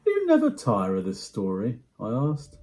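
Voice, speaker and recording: A man giving a dramatic first-person story reading in a hushed, measured way with deliberate pauses. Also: medium pitch, wildly swooping pitch, faint music behind. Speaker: amateur actor performing a story reading.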